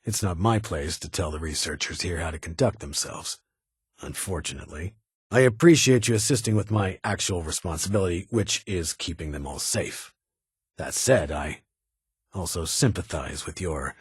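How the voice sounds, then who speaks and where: An actor playing a stern, guarded vault security chief in a video game, speaking in formal, clipped, measured phrases with a husky voice. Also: male voice, low-pitched, no echo, clean studio sound, exaggerated voice. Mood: dry, measured. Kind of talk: scripted game character dialogue.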